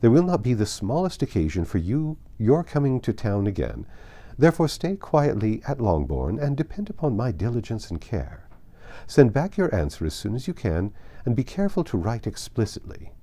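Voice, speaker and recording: Man reading as a formal, kindly uncle, mellow tone. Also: medium pitch, big pitch swings, moderate pace. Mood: formal, reassuring. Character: businesslike, kindly middle-aged uncle dictating a letter. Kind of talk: audiobook letter reading.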